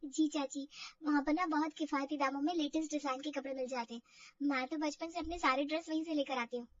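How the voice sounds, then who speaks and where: A woman's voice: high-pitched, fairly even intonation, fast talker, no room sound, far from the mic, muffled recording, lightly acted. A woman voicing a polite, anxious young new bride in a family drama story, hesitant and deferential.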